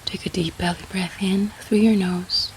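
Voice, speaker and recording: A woman leading a guided sleep meditation in a soft, breathy, half-whispered, soothing manner. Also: medium pitch, steady tone, normal speed, a little hiss or hum, rough recording. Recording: faint rain sound behind. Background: soft rain.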